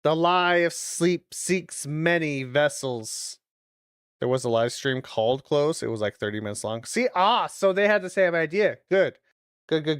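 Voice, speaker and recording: A streamer of medium-pitched voice giving casual watch-along commentary, reading phrases aloud deliberately and adding loose muttered asides. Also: smooth, no room sound, clean studio sound. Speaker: streamer reacting to videos.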